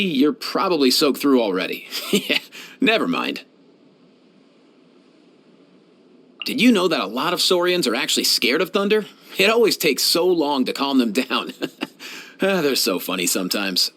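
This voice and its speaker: A young, caring animal doctor from a fantasy game. His voice sits fairly high for a man and moves up and down with lively intonation. He talks in a laid-back, friendly, casual manner. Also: moderate pace. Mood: relaxed, friendly. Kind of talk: scripted video game character voice lines.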